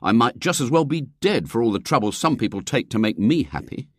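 A man's voice: medium pitch, very animated, brisk, no echo, clean studio sound, pitched above the performer's own voice. A man doing a sulky aggrieved schoolboy. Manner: petulant, indignant whine.

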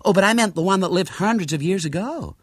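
A man voicing an excitable, eager young American lady tourist in a storybook reading. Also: sits high, fast talker, dry recording, studio quality, voice pushed up. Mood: excited. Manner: gushing, eager, wide-eyed. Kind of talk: storybook character voice.

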